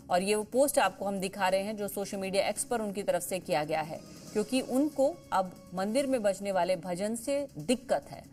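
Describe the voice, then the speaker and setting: Female news anchor over background music. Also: mid-range voice. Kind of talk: live television news reporting.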